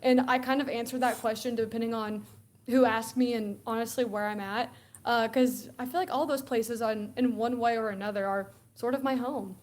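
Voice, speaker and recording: A young woman from Alabama chats about college football as a show guest. Her voice sits a little high, and she talks in a loose, chatty way, laughing often and acting out bits of conversation. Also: steady tone, normal speed, a little mellow. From Alabama, USA. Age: young adult. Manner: chatty, laughs often, acts out quoted exchanges. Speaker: guest co-host. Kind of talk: casual sports chat.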